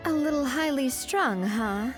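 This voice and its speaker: A high-voiced, poised young woman. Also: animated, measured pace, faint music behind. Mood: light, amused. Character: poised, friendly young woman explaining things to her companion. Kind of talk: anime-style video game dialogue acting.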